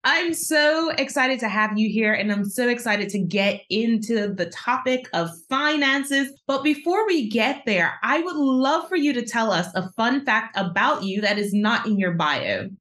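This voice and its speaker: A woman's voice: on the high side, expressive, unhurried, hardly any pauses, fairly bright tone. Upbeat woman hosting a podcast. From Bermuda. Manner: upbeat, welcoming, reads the guest's bio. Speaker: podcast host. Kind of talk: podcast interview, scripted introduction.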